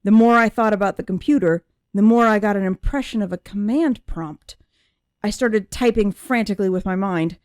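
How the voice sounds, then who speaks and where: A woman voicing an anguished young female android who is searching for herself, in emotive, deliberate first-person audiobook narration. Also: mid-range voice, darkish tone, no echo, clean studio sound, natural voice. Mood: tense, awed.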